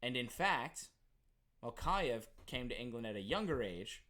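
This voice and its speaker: A man's voice: mid-range voice, sing-song, measured pace, pushed and bright. A young American man co-hosting a podcast, chatting casually, rambling, swearing a lot and laughing often. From United States. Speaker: podcast co-host. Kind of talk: casual chat.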